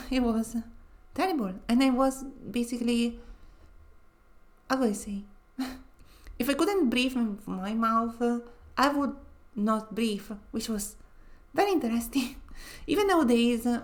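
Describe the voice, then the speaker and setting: A woman with a nasal, slightly high voice talks casually while streaming a game. She rambles in a chatty way and often breaks into laughter between phrases. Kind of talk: casual let's-play commentary.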